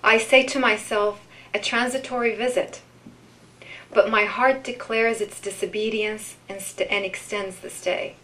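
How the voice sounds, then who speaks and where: Female poetry reader, mid-pitched, unhurried and measured, live event delivery. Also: fairly even intonation, a bit bright, low-quality recording. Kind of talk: live poetry reading with informal commentary.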